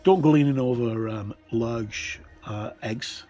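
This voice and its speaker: A middle-aged or older man giving a casual, unscripted look through a book as a tabletop game reviewer, in a rambling, hesitant way with many pauses. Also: mid-range voice, dark tone, muffled recording. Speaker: reviewer and presenter of tabletop games. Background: ambient music with wind sounds.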